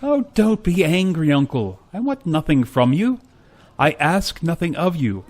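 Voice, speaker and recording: A man plays a cheerful young-spirited Victorian nephew in a radio drama. The voice is high and swoops around freely, with a hearty, friendly, warm-hearted manner. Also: sing-song, measured pace. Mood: pleading, good-natured.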